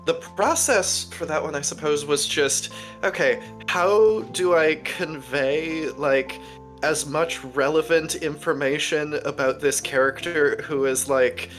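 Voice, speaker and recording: A person speaking in a dry, deliberate, wordy way during a casual group Q&A. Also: medium pitch, fairly even intonation, a bit bright, faint music behind. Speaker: cast member and writer.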